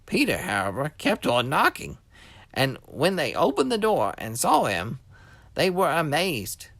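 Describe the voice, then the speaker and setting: A man's voice: fairly high pitch, huge pitch swings, measured pace, creaky, a bit bright, no echo. A man reading a scripture story expressively for children as a scripted narration.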